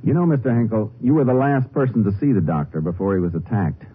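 Guy playing a calm low-voiced ranger, firm and businesslike, tinny old radio cop western. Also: fast talker, a little hiss or hum, close to an everyday voice. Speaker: lead investigator and narrator. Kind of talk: 1950s western radio drama acting and narration. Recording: tinny 1950s radio recording.

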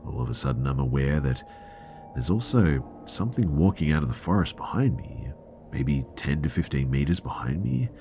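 Very deep-voiced man telling scary stories. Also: very animated, moderate pace, creaky, dark tone, faint music behind. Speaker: narrator of listener horror stories. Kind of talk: scary first-person storytelling.

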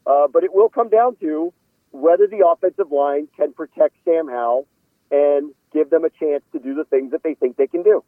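A man with a slightly high voice analyzes football in a conversational way. He is opinionated but measured, careful to qualify each point. The sound is thin and phone-like, dry, as on a radio call-in. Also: dry recording. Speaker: sports analyst interviewed as a guest.

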